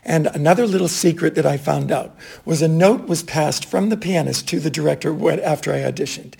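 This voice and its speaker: A man in his sixties from Boston, with a Boston accent, telling stories from his stage career in a chatty, animated way. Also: a little high, a little fast, vocal fry, husky. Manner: chatty, animated anecdotes with fillers. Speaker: stage actor and director. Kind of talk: casual interview storytelling.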